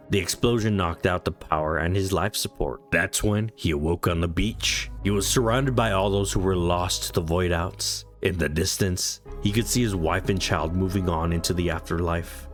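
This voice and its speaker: A man's voice: low-pitched, normal speed, hardly any pauses, faint music behind. A man reading a scripted story recap as a narrator.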